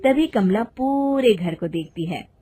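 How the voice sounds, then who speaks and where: A woman narrating an animated storybook in a plain, even storytelling manner. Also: medium pitch, takes it slowly, breathy edge, soft background music.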